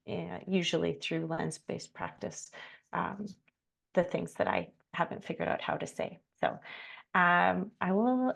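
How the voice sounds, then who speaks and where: Hesitant low-voiced woman with a gravelly edge. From Canada. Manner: hesitant, many fillers and restarts. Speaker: curator and artist. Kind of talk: reflective conversation, self-introduction.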